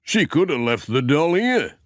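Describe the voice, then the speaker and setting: A man puts on a friendly village handyman for a children's storybook. He is folksy and easygoing, with a slightly raised, swinging pitch and a close, dry sound. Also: sing-song, unhurried, no echo. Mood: helpful, easygoing. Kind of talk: children's storybook character dialogue.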